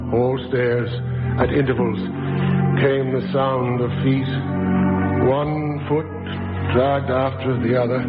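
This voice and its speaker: A man narrating a horror tale in an old radio drama, with a slow, measured, ominous delivery. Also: medium pitch. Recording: tinny old radio recording with loud music.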